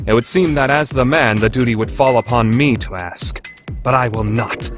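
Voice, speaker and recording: A man playing a proud, overthinking high school student council president, grave and calculating in an anime radio play. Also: moderate pace, lightly acted. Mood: grave scheming. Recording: background music under the voice.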